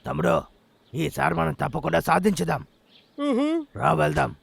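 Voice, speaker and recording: A man voicing a bossy, scheming older-brother bear in a cartoon dub, gravelly and exclamatory like a showman. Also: a little high, fairly even intonation, normal speed, heavily acted. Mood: determined. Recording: loud music under the voice.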